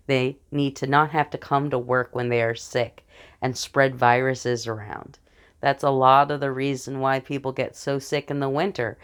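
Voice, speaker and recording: An American woman talks through a political platform from her notes. Her voice is smooth and clear, and she explains each point earnestly in an informal speech style. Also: very deep voice. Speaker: speaker announcing a political platform.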